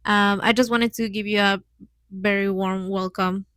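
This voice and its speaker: Casting: woman, mid 20s, Mexican accent, native Spanish speaker. Informal podcast host, hesitant and giggly phrasing, medium pitch, dry sound. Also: flat delivery, measured pace, fairly bright tone, no room sound. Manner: hesitant learner's English with frequent little giggles. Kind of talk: casual solo podcast talk.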